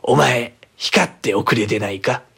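A sinister old witch aunt, acted out by a man in a horror storybook. The voice is husky and sly, with knowing, old-fashioned turns of phrase that sound unhurried and menacing. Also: medium pitch, fairly bright tone, full character voice.

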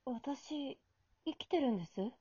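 A woman's voice: high voice, lively intonation, measured pace, mellow, husky, no room sound, dull audio, heavily acted. A woman acting in an amateur radio drama as a weary, self-doubting young woman, speaking in a hesitant, subdued way. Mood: shaken, resolute.

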